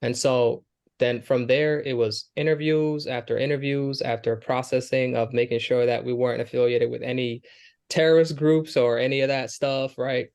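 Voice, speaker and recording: A man's voice: mid-range voice, steady tone, normal speed, gravelly, a little mellow, clear, resonant tone. A young man from Kenya with a Somali family, answering interview questions in an earnest, conversational way, with many fillers and restarts. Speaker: guest, Air Force airman.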